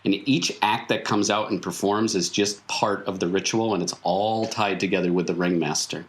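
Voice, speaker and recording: A man playing a weary, mysterious circus veteran in tabletop roleplay, explaining things patiently and haltingly. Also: deep voice, steady tone, close to an everyday voice. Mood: explanatory. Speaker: game master. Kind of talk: improvised in-character tabletop roleplay.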